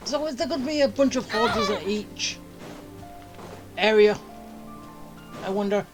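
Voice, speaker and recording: Slow-paced man casually commenting on a game. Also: high-pitched, over music. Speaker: game streamer.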